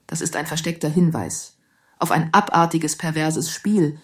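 A woman's voice: low-pitched, normal speed, lightly acted. A woman playing a paranoid, sleepless spaceship crew member full of dread in a satirical radio play, dramatic and ominous.

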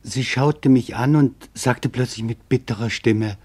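Sober male reporter narrating gravely. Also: mid-range voice, measured pace, darkish tone. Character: male German reporter recounting his search for witnesses, sober. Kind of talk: scripted documentary radio narration.

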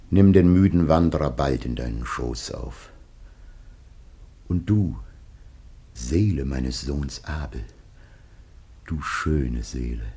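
A man's voice: very deep voice, darkish tone, slightly rough, heavily acted. An actor playing a weary, dying old father in a classical radio play, solemn, grave and lamenting in his delivery. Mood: sorrowful lament.

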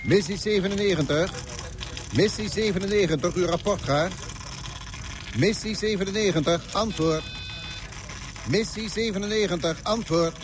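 An actor plays a male controller calling a mission in a radio drama. His voice is high for a man, formal and commanding. Clear background noise runs under the clipped orders. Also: moderate pace, pauses often, close to an everyday voice. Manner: clipped, official. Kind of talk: Dutch radio drama, military radio traffic.

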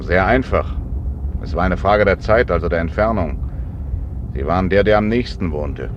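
A male actor as a commanding gangster with a lowish voice and courteous, ironic menace. He articulates carefully in 1950s radio-play style. The sound is muffled, with clear vehicle noise behind him. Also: normal speed, close to an everyday voice. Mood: cool, persuasive. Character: polite, commanding male gangster abducting a priest.

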